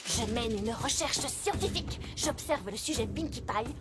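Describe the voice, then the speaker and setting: A woman's voice: fairly high pitch, lively intonation, normal speed, close to an everyday voice. A woman voicing a studious, skeptical female unicorn pony in a French cartoon dub, precise and lecturing. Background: loud music score.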